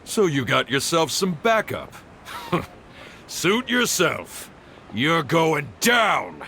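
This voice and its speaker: A villainous kidnapper in a video game. He drawls very slowly in a forceful, bright voice, taunting and menacing, with faint ambience behind. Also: on the high side, sing-song, slight background noise, exaggerated voice. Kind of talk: video game cutscene acting.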